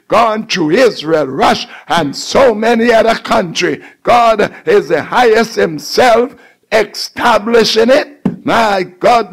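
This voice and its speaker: A man preaching a fervent call-and-response sermon, exhorting listeners and repeating phrases with emphasis. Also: high voice.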